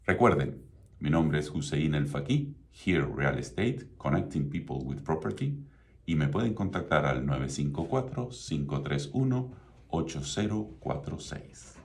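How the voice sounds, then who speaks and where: A middle-aged man from Venezuela with a Venezuelan Spanish accent, hosting his own radio talk show in a measured, promotional way. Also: extremely low pitch, big pitch swings. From Venezuela (Mérida). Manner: measured, promotional, repeats contact details.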